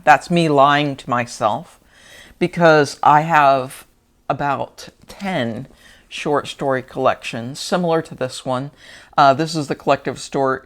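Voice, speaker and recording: Slow, gravelly-voiced book vlogger. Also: medium pitch, fairly bright tone. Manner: thoughtful, rambling with restarts and hesitations. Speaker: teacher. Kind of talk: unscripted casual vlog talk. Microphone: wearing a mic.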